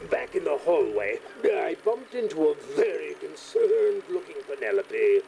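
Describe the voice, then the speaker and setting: An actor voicing an earnest gentleman in a video game, carefully recounting his testimony. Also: fairly high pitch, very animated, moderate pace, vocal fry, a crowd in the background, exaggerated voice.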